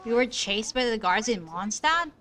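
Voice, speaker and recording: A woman's voice: fairly high pitch, animated, normal speed, fairly bright tone. A woman streamer casually commenting on a game story, chatty and laughing at the plot. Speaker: gaming streamer. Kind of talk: casual commentary over gameplay. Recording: voice over game audio and music.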